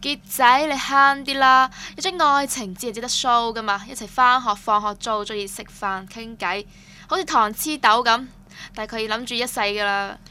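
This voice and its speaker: A woman's voice: fairly high pitch, moderate pace, sharp tone, clean tone. An actress playing a gossipy, teasing female student in a radio drama, chattering in Guangdong Cantonese.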